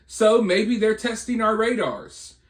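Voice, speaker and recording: An American man hosts informal news commentary in a notably high-pitched voice. He speaks conversationally and rhetorically, checking in with listeners as he speculates. The recording is clearly roomy, with echo around his words. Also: animated, slow. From United States. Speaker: online video commentator and channel host.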